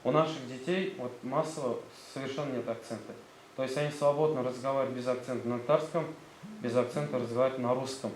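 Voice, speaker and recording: A man with a Tatar accent, a school director presenting his gymnasium to visiting officials, hesitant and full of fillers. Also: mid-range voice. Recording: roomy sound, faint background noise.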